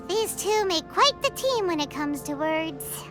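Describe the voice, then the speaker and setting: A woman voicing a tiny, excitable, childlike fairy companion in a video game, squeaky and exclaiming. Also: slow, music in the background, full character voice. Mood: mildly let down. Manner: speaks of herself in third person, exclaiming.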